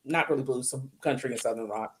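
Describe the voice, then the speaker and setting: A woman's voice: extremely low pitch, fast, a loud crowd. A woman guest who is a singer-songwriter, talking in a chatty way, laughing easily and dropping in a Southern "y'all". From Ohio, US. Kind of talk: casual chat on a call-in show.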